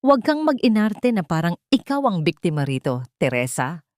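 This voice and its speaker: A woman's voice: on the low side, sing-song, normal speed, darkish tone, slight background noise. A woman voicing a cruel, domineering rich older mother-in-law in an audiobook drama, scolding and threatening with haughty venom. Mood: scornful.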